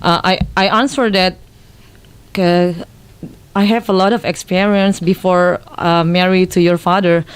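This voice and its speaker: A woman's voice: fairly low pitch, steady tone, a little slow, clear, resonant tone. A woman in her thirties with an Indonesian accent, a mother speaking as a radio guest, hesitant with many fillers. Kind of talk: radio talk show guest.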